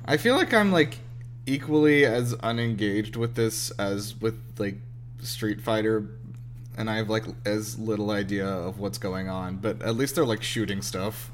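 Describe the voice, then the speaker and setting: A man's voice: lowish voice, unhurried, a little hiss or hum. A man giving casual, slangy joking commentary as a movie reaction host. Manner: slangy, wisecracking.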